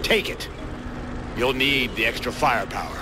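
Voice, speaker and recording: Curt American warship captain played by a man, loud background noise. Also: medium pitch, moderate pace, close to an everyday voice. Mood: commanding. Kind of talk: video game cutscene acting. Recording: loud vehicle and outdoor battle noise.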